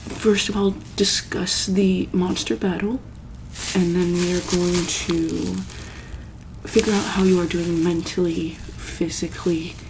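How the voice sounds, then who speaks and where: A woman speaking softly in an ASMR medical roleplay as a calm, caring doctor, halting often with pauses and ums. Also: on the low side, background noise. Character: calm, caring female monster-hospital doctor.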